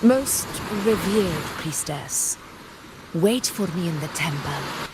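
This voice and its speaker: A woman playing a proud, unflappable warrior ruler in a video game, with measured, grand phrasing and a teasing streak. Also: fairly low pitch, lively intonation, background noise.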